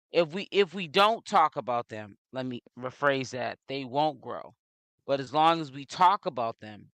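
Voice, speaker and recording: A man's voice: a little high, a bit bright, smooth, dry recording. A young man from Boston with a Boston accent, rambling through casual celebrity gossip commentary and correcting himself as he goes. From Boston, Massachusetts. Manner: rambling, self-correcting, streetwise slang.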